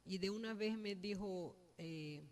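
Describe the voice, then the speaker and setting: A woman with a Brazilian Portuguese accent, a native Portuguese speaker, giving a halting, unscripted personal speech at a funeral, searching for words. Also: medium pitch, a little mellow. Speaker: mourner giving a family eulogy.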